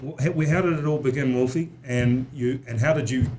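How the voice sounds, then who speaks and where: A man's voice: mid-range voice, a little fast, background noise. A man hosting a podcast interview who asks hesitant, rambling questions full of fillers. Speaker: podcast host. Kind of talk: casual interview.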